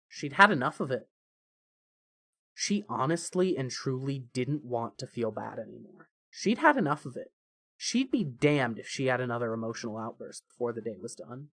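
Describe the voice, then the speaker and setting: Male narrator, medium pitch, scripted storytelling, audio fiction, dry close recording. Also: a bit bright, no room sound.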